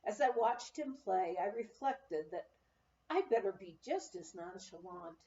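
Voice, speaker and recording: A woman narrating a memoir aloud in an expressive, storytelling manner. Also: medium pitch, a little slow, far from the mic, slight background noise. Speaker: audiobook reader. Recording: muffled, roomy, off-mic amateur recording.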